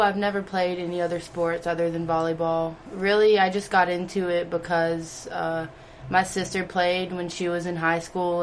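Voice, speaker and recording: A woman's voice: lowish voice, slight background noise. A young woman from North Carolina, a college volleyball player giving brief, modest interview answers. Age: young adult.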